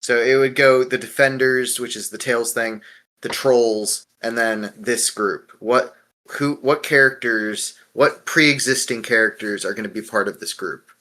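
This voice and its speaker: A man's voice: mid-range voice, unhurried, pushed and bright. A man hosting a casual podcast discussion, talking bluntly with swearing and steering with questions. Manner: profane, blunt, leads with questions. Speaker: podcast host.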